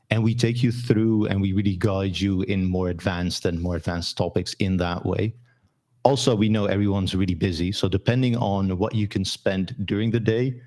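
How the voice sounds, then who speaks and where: A man hosting a live interview stream over a video call, with upbeat, smooth patter. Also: sits low, darkish tone. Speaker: host.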